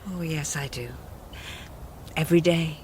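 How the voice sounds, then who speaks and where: A woman's voice: deep voice, steady tone, unhurried, a little mellow, a little hiss or hum. A middle-aged woman playing a fussing, loyal, long-suffering friend of a star in a radio play. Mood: subdued.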